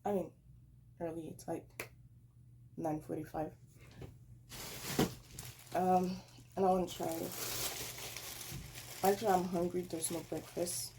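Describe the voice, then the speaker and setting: A young woman talks slowly and unhurriedly, with a raspy, gravelly voice that sits a bit low. She sounds tired and rambles as she taste-tests snacks at home, correcting herself as she goes. There is noticeable noise behind her. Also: level tone. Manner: rambling, self-correcting, chatty. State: tired, slightly hoarse. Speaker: snack reviewer vlogger. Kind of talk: casual vlog, taste-testing snacks. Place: at home, indoors.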